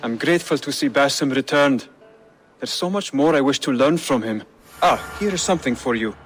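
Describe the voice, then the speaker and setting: A man's voice: medium pitch, expressive, brisk, soft background music, natural voice. A man playing an earnest, polite young-hearted acolyte in a video game, speaking carefully and attentively.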